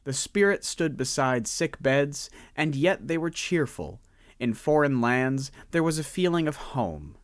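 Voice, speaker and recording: A man narrating a radio drama in an expressive storytelling manner. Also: mid-range voice, a little slow, dry recording, studio quality. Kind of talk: scripted narration.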